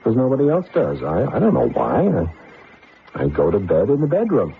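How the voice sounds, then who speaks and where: An actor playing an earnest, easily flustered computer scientist in a radio drama, hesitant and given to stammering. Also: male voice, medium pitch, wildly swooping pitch, moderate pace, slight background noise. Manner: hesitant, stammering when challenged. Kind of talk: radio drama acting and first-person narration. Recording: tinny, phone-like old radio recording.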